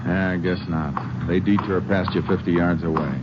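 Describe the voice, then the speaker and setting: An actor playing a terse, determined American Texas Ranger in an old radio drama, plain-spoken in dialogue and narration. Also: male voice, low-pitched, steady tone, normal speed, very noisy, natural voice. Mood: polite, subdued. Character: laconic, determined Texas Ranger lawman, adult male. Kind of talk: 1950s radio drama acting and first-person narration. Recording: dull old radio recording, loud music.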